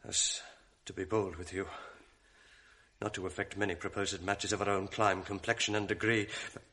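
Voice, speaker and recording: A manipulative false friend: hushed, confiding classical verse in a radio drama, crisp and pointed. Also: male voice, low voice, flat delivery, normal speed. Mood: insinuating. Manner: hushed, confiding, insinuating, often near a whisper. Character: scheming manipulative male ensign, false friend.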